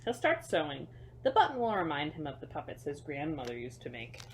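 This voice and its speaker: A woman reading a picture book to children as a storyteller, expressive, with chatty, simple asides to young listeners. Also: deep voice, a little fast, slight background noise.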